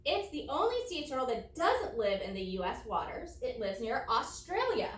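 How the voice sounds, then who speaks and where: A woman teacher reading aloud to children and explaining each step in a deliberate, instructive way. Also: high voice, very animated. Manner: deliberate, explanatory, spelling out steps for young pupils. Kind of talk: children's read-aloud lesson with think-aloud commentary. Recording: roomy echo, distant from microphone.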